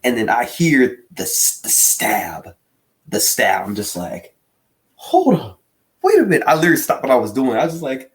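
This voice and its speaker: A man of average pitch chats in an excitable storytelling way. His intonation swings widely and he repeats phrases to drive them home. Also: moderate pace. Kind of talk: casual animated storytelling.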